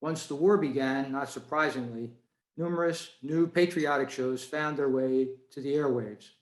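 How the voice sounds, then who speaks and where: A man's voice: mid-range voice, normal speed. Echoey-sounding man giving a club talk. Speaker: club presenter. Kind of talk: prepared talk read to a club meeting. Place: indoors, on a video call. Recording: roomy, echoey video call audio.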